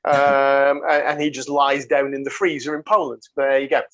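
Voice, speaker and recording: A man hosting a casual podcast over a video call, jokey and quick to laugh, calling his guest mate. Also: medium pitch, level tone, a bit bright, muffled recording. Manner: jokey, laughs often, calls people mate. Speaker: podcast host.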